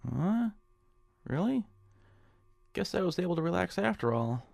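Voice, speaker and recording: A man's voice: high voice, sing-song, unhurried, gravelly, darkish tone, dry recording, exaggerated voice. An actor playing a meek, hapless, flustered man in a radio drama, speaking hesitantly with stammers. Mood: puzzled.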